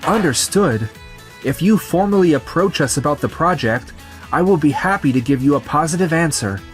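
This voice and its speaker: A man's voice: on the high side, lively intonation, normal speed, faint music behind, close to an everyday voice. A man voicing a humble, appreciative young company boss in a scripted manga dub, polite and sincere. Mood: grateful.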